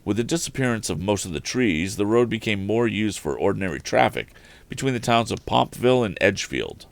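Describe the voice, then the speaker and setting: Deep-voiced man narrating with lively intonation. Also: dry recording. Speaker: host reading a story aloud. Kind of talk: expressive audiobook-style narration of an adventure novel.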